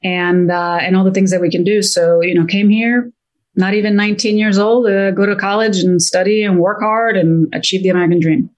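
A woman in her fifties, originally from Italy, speaks as a guest on an interview show. She talks with bright enthusiasm, rushing ahead and doubling back on her words. Her pitch sits in a comfortable middle range. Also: highs cut off. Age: 50s. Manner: enthusiastic, quick, repeats words when excited. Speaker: guest wealth advisor. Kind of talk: casual interview chat.

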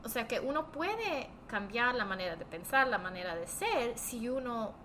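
Bright-voiced woman, slightly halting non-native Spanish. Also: a little high, expressive, slight background noise. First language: not fully fluent in Spanish, more at home in another language. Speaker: podcast host and therapist. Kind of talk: casual conversational discussion.

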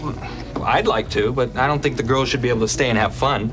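A man's voice: mid-range voice, fast talker, over music, close to an everyday voice. A man playing an earnest middle-aged professor dad in a TV sitcom, firm but awkward. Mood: awkward, friendly. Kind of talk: sitcom acting.

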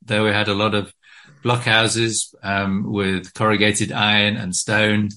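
British man, bright, hesitant interview talk. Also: lowish voice, level tone, a little slow. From Britain. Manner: hesitant, many ums and restarts. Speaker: guest author.

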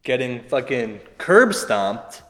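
A man's voice: mid-range voice, big pitch swings, takes it slowly, a bit bright. A man playing a self-deprecating stand-up comedian, conversational and wry as he delivers a routine. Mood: dry, dark. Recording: echoey venue reverb, faint music.

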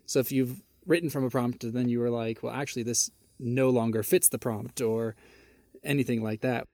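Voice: mid-range voice, steady tone, moderate pace, dark tone, dry recording. An interview host asking long, open questions in a curious, conversational way.

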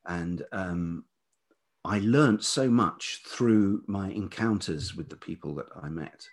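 A man's voice: low voice, slow. A man in his fifties reflecting on his life as an interview guest, speaking hesitantly and thoughtfully in a velvety voice. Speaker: interview guest, writer and producer. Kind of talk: reflective autobiographical interview chat.

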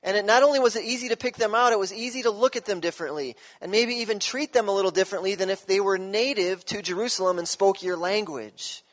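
Male pastor, high-pitched, chatty preaching style, echoing sanctuary. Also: quick pace, hardly any pauses, a bit bright. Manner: conversational, checks in with listeners. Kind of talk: sermon.

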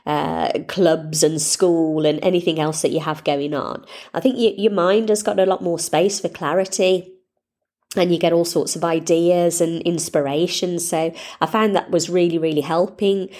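A woman's voice: fairly low pitch, steady tone, moderate pace, mellow. A middle-aged woman hosting her own podcast, congested with a cold, talking in a chatty, upbeat, rambling way. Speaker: podcast host. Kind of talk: casual solo podcast chat.

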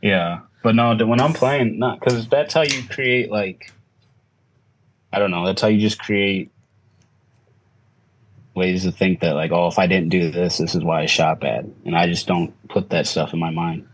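A man's voice: sits low, steady tone, normal speed, slightly rough, poor audio. A man speaking casually as a podcast guest, a pro player answering questions in an interview chat.

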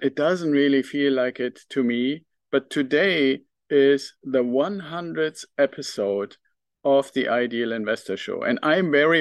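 A man's voice: medium pitch, dry recording. German-accented man hosting a podcast, dry sound. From northern Germany. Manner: earnest, reflective, thinks aloud with fillers. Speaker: podcast host. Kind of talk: solo podcast monologue.

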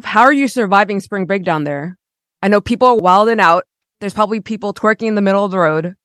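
A woman speaks as a podcast host in casual interview style. Her pitch sits in the middle range for a woman. The sound is dry, with very little room around it. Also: quick pace, a bit bright, no echo. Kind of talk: scripted intro and casual interview chat.

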